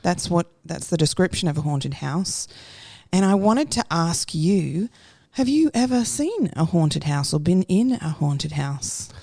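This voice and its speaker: A woman's voice: lowish voice, lively intonation, a little slow, vocal fry, dark tone, no room sound, clean studio sound. A woman co-hosting a faith podcast, asking questions in a friendly way. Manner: friendly, prompting questions, reads definitions aloud. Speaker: podcast co-host. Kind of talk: casual faith podcast chat.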